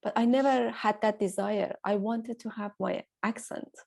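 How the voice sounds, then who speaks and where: A woman's voice: mid-range voice, steady tone, moderate pace, gravelly, dark tone. A woman with a Persian accent hosting a conversational interview, hesitant with many fillers.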